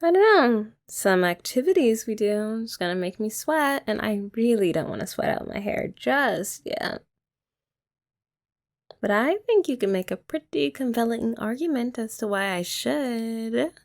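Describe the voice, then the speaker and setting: Slow, lilting woman with a gravelly edge. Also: on the high side, lively intonation. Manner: soft, teasing and affectionate, often laughing. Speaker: ASMR roleplay creator. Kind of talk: ASMR girlfriend roleplay.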